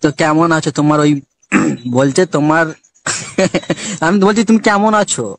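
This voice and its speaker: A man's voice: fairly high pitch, lively intonation, unhurried, vocal fry. A man bantering on a phone call in a crude, teasing, swearing way. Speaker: phone caller. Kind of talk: casual, bawdy phone banter.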